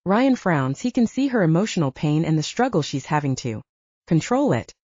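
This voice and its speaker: A woman's voice: deep voice, sing-song, moderate pace, darkish tone, dry recording. A woman reading scripted fiction as an audiobook narrator, in a dramatic storytelling style. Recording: dull lo-fi audio, dry.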